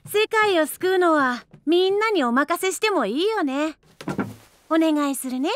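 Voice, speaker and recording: An actress voicing a gentle, carefree young fantasy monarch with a soft, lightly teasing manner. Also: female voice, extremely high pitch, animated, unhurried, a little hiss or hum.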